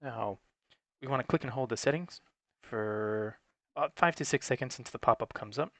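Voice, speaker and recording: A man's voice: medium pitch, normal speed, creaky, a bit bright, no room sound. A man giving an unscripted, matter-of-fact, step-by-step tutorial in an instructional way. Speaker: technical support presenter.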